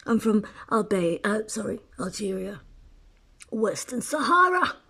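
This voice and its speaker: A woman's voice: mid-range voice, very animated, a little slow, sharp tone, husky. A woman from Eritrea talking at length in a casual personal monologue, rambling with restarts and asides. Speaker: writer.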